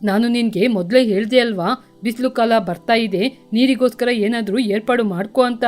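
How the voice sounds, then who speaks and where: A woman's voice: on the high side, fairly quick, darkish tone, faint music behind, close to an everyday voice. A woman voicing a whining, reproachful young crow boy in a children's cartoon. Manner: complaining.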